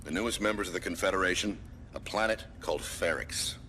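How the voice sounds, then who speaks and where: An actor playing a stern military commander in a video game, giving formal, measured and authoritative mission briefings. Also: male voice, low-pitched, pushed and bright, a little hiss or hum. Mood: grave, authoritative. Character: stern male military commander briefing a special forces soldier. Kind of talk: scripted game cutscene acting and mission guidance.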